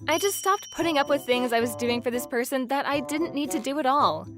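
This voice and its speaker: A woman voices a young wife with a high voice, weary but resolute. She narrates a manga dub earnestly and matter-of-factly over music. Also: expressive, fairly quick. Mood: narrating, earnest. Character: weary, resolute young wife telling her divorce story. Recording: music bed under the voice.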